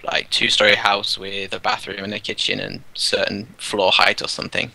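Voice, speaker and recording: A man, a teacher, casually explaining his classroom activities while touring a game world, relaxed and rambling with many fillers. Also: fairly low pitch, normal speed, creaky, rough recording. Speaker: teacher, guest.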